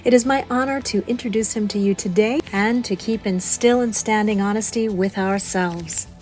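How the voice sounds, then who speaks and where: A woman hosting a podcast, reading a scripted introduction in formal, measured phrases. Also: medium pitch, a little mellow. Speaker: podcast host. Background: music.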